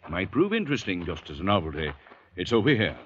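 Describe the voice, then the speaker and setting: An actor playing a suave, callous, wealthy middle-aged patriarch with a pompous, urbane manner in a 1940s radio drama style. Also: male voice, sits low, very animated, quick pace, a bit bright, a little hiss or hum. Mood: haughty, blasé. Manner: pompous, urbane, matter-of-fact about cruelty. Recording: muffled vintage radio drama recording.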